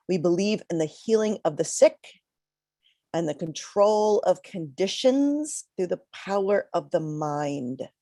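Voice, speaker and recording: A middle-aged woman minister giving a reflective, conversational sermon with pauses, a little congested from a cold. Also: on the low side, mellow, no echo, studio quality.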